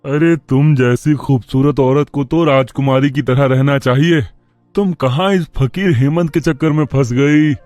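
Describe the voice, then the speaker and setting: Man acting rich young schemer, ingratiating, flattering, mid pitch, storybook cartoon, music behind. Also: a little slow, no echo, close to an everyday voice. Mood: flattering, sly. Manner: smooth-talking, ingratiating.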